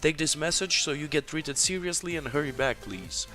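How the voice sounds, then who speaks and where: A worried town mayor from a fantasy game, read out by a man. The voice sits a little higher than plain talk and sounds bright and forceful. Soft music plays underneath. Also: animated, moderate pace. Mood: urgent. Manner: reads quest dialogue aloud, slightly raised. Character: town mayor giving urgent quests, man.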